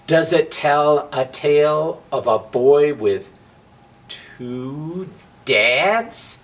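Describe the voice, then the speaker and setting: Man acting a slow, bossy talking match. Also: fairly high pitch, recorded from a distance, heavily acted. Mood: stern, suspicious. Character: bossy, disapproving talking match, nosy interrogator. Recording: clear steady hum of background noise.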